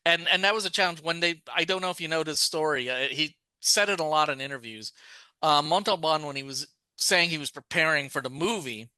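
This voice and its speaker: Bright, crisp-voiced man chatting. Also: on the high side, a little fast, no room sound. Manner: talkative, restarts sentences often. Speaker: podcast co-host. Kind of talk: casual chat.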